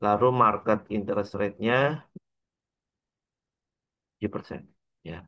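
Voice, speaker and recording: Low-voiced Indonesian man lecturing haltingly. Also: flat delivery, bright, forceful tone. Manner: halting, repeats points, frequent checking tags. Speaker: accounting lecturer. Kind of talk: lecture, working through an example step by step.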